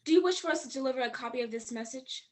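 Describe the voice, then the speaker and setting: An actress playing a businesslike telegraph operator, measured and impersonal, in a 1940s radio drama style. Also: female voice, sits high, close to an everyday voice. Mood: formal, detached. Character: formal, businesslike female telegraph operator reading messages.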